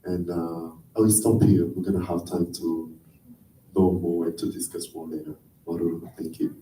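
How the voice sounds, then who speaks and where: A young man from Polynesia speaking earnestly at a conference panel, pausing often with fillers. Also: level tone, warm tone. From French Polynesia. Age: 20s. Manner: earnest, hesitant with many fillers. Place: large conference hall. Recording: echoey hall, distant mic, faint rumble.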